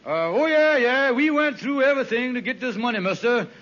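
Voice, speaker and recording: An actor playing a sly, fast-talking schemer in dialect comedy on a 1950s radio sitcom. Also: male voice, extremely high pitch, expressive, fairly bright tone. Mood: scheming. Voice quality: dialect comedy speech with loose grammar. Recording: old muffled radio broadcast.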